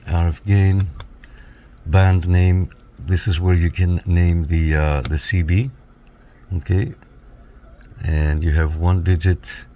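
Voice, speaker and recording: A man's voice: sits low, mellow, a little hiss or hum. A man reviewing a piece of equipment, casually talking viewers through it step by step in an instructional way. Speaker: radio reviewer giving a demonstration. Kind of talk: casual hands-on product walkthrough.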